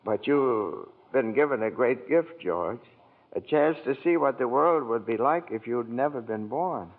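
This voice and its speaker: An actor playing a gentle, bumbling elderly male angel who talks timidly and politely. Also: mid-range voice, lively intonation, measured pace, big-room sound. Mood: earnest. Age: older. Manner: timid, polite, often says sir. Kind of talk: 1940s radio acting. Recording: tinny 1940s radio broadcast with music.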